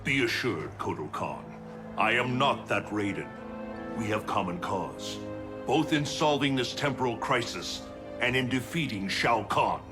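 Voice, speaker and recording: An actor playing a calm, noble protector god in a video game, formal, measured and solemn. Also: male voice, mid-range voice, lightly acted. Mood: solemn, reassuring. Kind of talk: video game cutscene acting. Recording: cinematic music behind.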